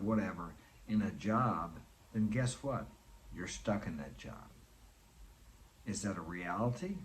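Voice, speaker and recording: A man in his sixties speaks in a somewhat low voice, delivering an earnest motivational monologue. He presses his points home by repeating them. A faint hiss of ambient noise sits under the recording. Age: 60s.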